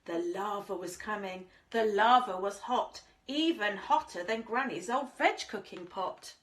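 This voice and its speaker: A woman reading a children's story aloud in a dramatic, expressive way, speaking directly to young listeners. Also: medium pitch, measured pace. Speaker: infant school teacher reading stories to children.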